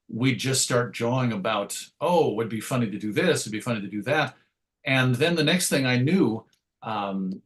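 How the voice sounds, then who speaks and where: Male interview guest, writer type: conversational, thoughtful and wordy, with hesitant phrasing and a medium-pitched voice. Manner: reflective, wordy, hesitant phrasing with self-corrections and wry asides. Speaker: interview guest, comics writer and radio host.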